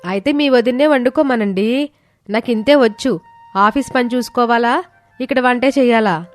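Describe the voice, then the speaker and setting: A woman's voice: fairly high pitch, moderate pace, soft background music, natural voice. Woman playing an emotional young daughter-in-law. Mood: irritated.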